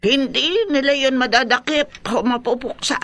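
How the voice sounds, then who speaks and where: An actress playing a dismissive, ominously certain mother in a radio drama, speaking deliberately. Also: high voice, a bit bright. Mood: knowing, ominous.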